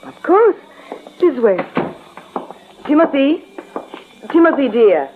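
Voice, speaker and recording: An actress playing a fluttery, theatrical wife in an old-time radio melodrama. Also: female voice, high voice, big pitch swings, takes it slowly, full character voice. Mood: bright, searching. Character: beautiful, fluttery wife of a rich old man. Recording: noisy, tinny vintage radio recording.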